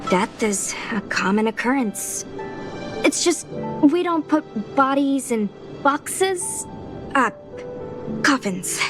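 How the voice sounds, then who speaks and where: Casting: young female game swordswoman, blunt, curious and informal, higher-pitched, taking her time. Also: animated, takes it slowly, long pauses. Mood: explaining, matter-of-fact. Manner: blunt, informal, with hesitant stammers. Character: inquisitive young female swordswoman raised on battlefield lore. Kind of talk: scripted video game dialogue. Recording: clear background music under the voice. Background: game music.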